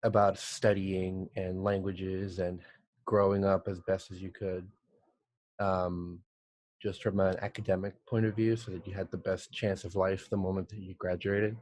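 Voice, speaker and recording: Slow, low-voiced man from El Salvador. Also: little pitch movement, darkish tone, no room sound. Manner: halting, thoughtful, many pauses and restarts. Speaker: guest, art director. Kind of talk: casual podcast interview.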